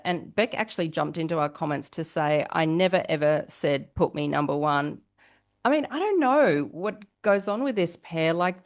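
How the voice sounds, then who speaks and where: A woman hosting a podcast, giving casual, chatty reality TV gossip commentary and putting questions to the listeners. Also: on the low side. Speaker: podcast host.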